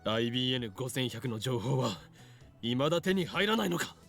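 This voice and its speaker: A man's voice: medium pitch, expressive, racing, a bit bright. A man voicing a melodramatic young self-styled mad scientist in a visual novel, theatrical and brooding. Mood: grave, urgent. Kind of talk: Japanese visual novel voice acting. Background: game music.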